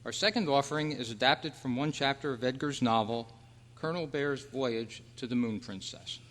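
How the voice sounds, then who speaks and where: A man's voice: mid-range voice, normal speed, a bit bright. A man reading a scripted stage announcement in a formal manner. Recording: echoey hall with long reverb.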